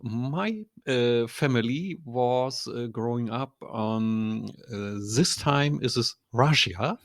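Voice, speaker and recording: A German man from East Germany, a guest speaking halting, hesitant English full of fillers. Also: mid-range voice, no room sound, clean studio sound.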